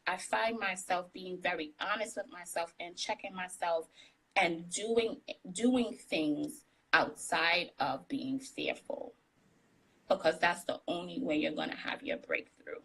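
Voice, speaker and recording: A woman's voice: fairly low pitch, very animated, unhurried, fairly bright tone, husky, rough recording. A woman guest speaking candidly in a casual interview, laughing often, with what she calls a tiny voice, on a live video call. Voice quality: describes her own voice as tiny. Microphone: phone on a live video call.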